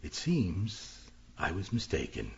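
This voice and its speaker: A proud gentleman killer in a radio whodunit. His medium-pitched voice is rough and husky and swings dramatically as he speaks in formal, haughty phrases. The recording is a bit muffled. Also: huge pitch swings, slow, exaggerated voice. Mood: bitter, resigned. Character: proud, cornered male publisher turned murderer. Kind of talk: radio mystery drama acting.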